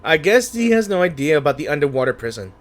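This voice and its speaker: Highish, very animated man reacting to a game. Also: fast, faint music behind. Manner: playful, chatty, interjecting. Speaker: gaming video host. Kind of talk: casual gameplay reaction commentary.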